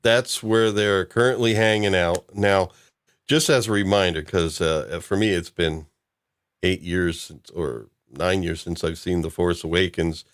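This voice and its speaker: Male podcast host, very low voice, casual conversational delivery. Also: measured pace. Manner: chuckles while talking. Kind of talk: casual chat about a fan audio drama.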